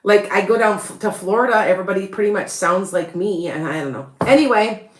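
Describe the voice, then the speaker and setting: A woman's voice: mid-range voice, brisk, echoey room, slight background noise. A woman with a Chicago accent, hosting a rambling, chatty live stream as a psychic reader and laughing often. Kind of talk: casual live-stream chat.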